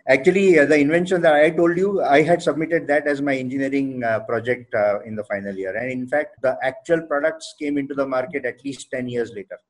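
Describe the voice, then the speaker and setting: A man with an Indian English accent, a company co-founder answering interview questions thoughtfully, with many pauses and fillers. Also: mid-range voice, low-quality recording. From Odisha, India.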